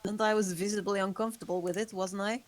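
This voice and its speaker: A woman reads a vampire who has outlived everyone she loved, speaking in a measured, somber way. Her voice sits at an ordinary middle pitch, and the tone stays guarded and dry. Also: steady tone, a little hiss or hum, lightly acted. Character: ageless female vampire, guarded and remorseful. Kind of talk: table read.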